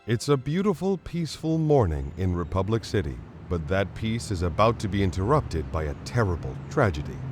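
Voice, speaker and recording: A man's voice: low-pitched, big pitch swings, brisk, few pauses. A man acting as the narrator of a superhero radio play, theatrical and vivid in his storytelling. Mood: dramatic. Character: dramatic male narrator of superhero serial. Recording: loud music under the voice.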